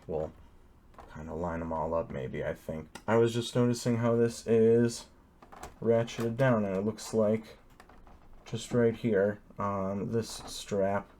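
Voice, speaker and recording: A man's voice: deep voice, warm tone, a little hiss or hum. A man casually talking through a toy unboxing, rambling easily with many ums and uhs.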